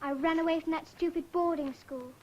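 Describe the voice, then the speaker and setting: A woman's voice: extremely high pitch, fairly even intonation, fairly quick, darkish tone, lo-fi sound, a little hiss or hum. A young female actor playing a plucky, blunt runaway schoolgirl in an old film, pert and matter-of-fact. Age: child. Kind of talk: 1960s film acting.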